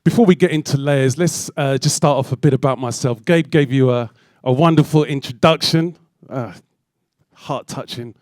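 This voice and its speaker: A man's voice: mid-range voice, normal speed, a little mellow, no room sound, clean studio sound. Chatty London man addressing a crowd. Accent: London English. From London, England. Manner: friendly, chatty, with frequent ums. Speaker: guest speaker. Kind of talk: live talk to an audience. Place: event hall with audience.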